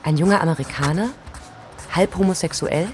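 Eine Schauspielerin spielt im Hörspiel eine Romanautorin in den Dreißigern, die ihre Notizen laut denkend vorträgt. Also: female voice, lowish voice, fairly quick, a little hiss or hum, lightly acted. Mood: intent, inventive. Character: young female novelist of thirty-three, keen, sketching her ideas. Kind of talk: radio play diary monologue.

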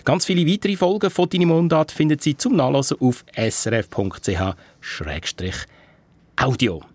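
Swiss radio presenter, man, husky voice, dry close sound. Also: a little high, normal speed, darkish tone, no echo. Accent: Swiss German.

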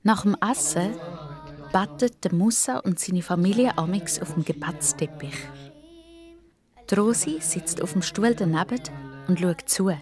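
Swiss german woman telling a kids story, lowish voice, simple deliberate phrases. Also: music in the background. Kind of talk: children's story narration.

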